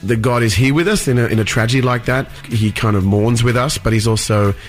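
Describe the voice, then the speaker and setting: An earnest middle-aged man with an Australian accent, talking on a radio interview. He speaks at an everyday pitch, stops and restarts his phrases, and has faint music playing behind him. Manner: earnest, halting with repeated words. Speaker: singer and guest.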